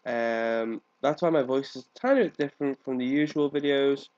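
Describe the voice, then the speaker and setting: A man's voice: medium pitch, normal speed, darkish tone. A man with a congested, cold-affected voice giving casual, rambling let's play commentary as the host of a gaming video. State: congested with a cold, coughing now and then. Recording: dull, lo-fi microphone sound.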